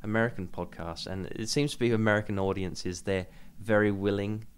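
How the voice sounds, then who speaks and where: A man's voice: fairly low pitch, fairly even intonation, brisk, clear, resonant tone. A man co-hosting a podcast in casual discussion, hesitant and often repeating words mid-sentence. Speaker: podcast host.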